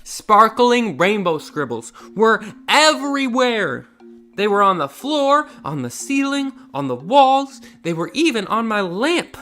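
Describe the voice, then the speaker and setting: Man voicing an animated, wide-eyed young child storyteller. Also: high-pitched, measured pace, soft background music, pitched above the performer's own voice, full character voice. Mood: amazed. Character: excitable young child telling of a scribbling unicorn.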